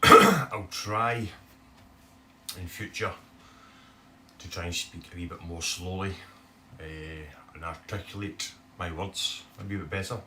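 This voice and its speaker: A low-pitched man with a broad Scottish accent chats to a phone camera. His talk rambles along with frequent "eh" fillers and has a bright, forceful edge. The phone sits a little away from him, and faint room noise runs underneath. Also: fairly even intonation, slow pace, pauses often, far from the mic. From Scotland. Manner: chatty, rambling, many "eh" fillers. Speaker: bass guitar YouTuber.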